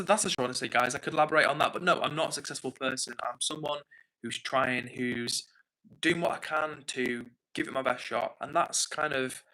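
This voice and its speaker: A man's voice: mid-range voice, bright, forceful tone, low-quality recording. A young man from England hosting a livestream, chatting casually and self-deprecatingly while reading out viewer comments. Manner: chatty, self-deprecating, apologetic, laughs often. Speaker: livestream host.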